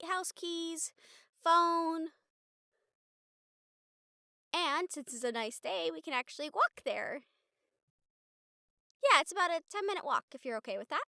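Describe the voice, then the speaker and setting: Woman acting as sweet college girl medium, high-pitched, chatty and earnest, halting delivery, audio roleplay, very dry sound. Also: pushed and bright, no room sound, heavily acted. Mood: cheerful, practical. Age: young adult. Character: excitable, sweet young college girl, a medium befriending a ghost. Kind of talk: intimate audio roleplay addressed to the listener.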